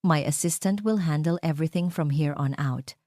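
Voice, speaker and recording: A woman's voice: deep voice, normal speed, mellow, no echo, clean studio sound, close to an everyday voice. A woman voicing a cold, imperious, emotionless rich male CEO in a dramatic story. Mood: cold, commanding.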